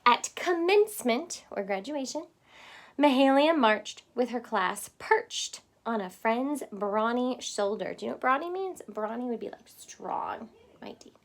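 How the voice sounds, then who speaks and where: A woman reading a children's storybook aloud expressively, in the manner of someone explaining it to young listeners. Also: a little high. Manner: expressive, pausing to explain words simply. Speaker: storybook reader.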